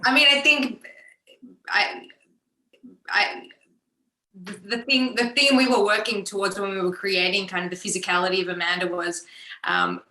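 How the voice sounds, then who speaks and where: An actress from Melbourne with an Australian accent, talking in an animated, slightly halting way in an interview. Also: female voice, medium pitch, reverberant, low-quality recording. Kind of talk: casual interview.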